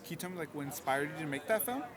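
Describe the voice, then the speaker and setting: A man's voice: a little high, lively intonation, very fast, a bit bright. A young man asking festival interview questions, hesitant and full of filler words. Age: young adult. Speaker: student interviewer. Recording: crowd noise, voice from off-mic.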